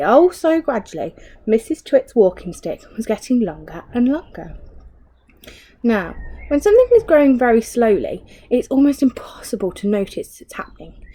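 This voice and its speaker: A woman's voice: fairly high pitch, mellow, poor audio. A woman reading a children's story aloud like a teacher, expressively, with asides and questions to young listeners.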